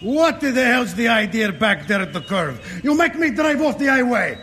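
A high, lively voice belongs to a proud, hot-tempered Sicilian truck driver with a thick Italian accent. His English is broken and loud, full of hurt pride. It sits in an old film soundtrack with a long, ringing reverb. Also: normal speed, heavily acted. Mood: angry. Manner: broken English, shouting then sobbing. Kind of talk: 1950s film drama acting.

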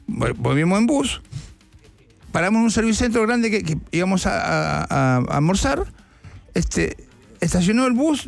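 A man's voice: sits high, vocal fry, no room sound. A man with an Argentine accent chatting casually on a sports panel, telling stories in colloquial, sweary language. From Buenos Aires province, Argentina. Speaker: sports show panelist and former footballer.

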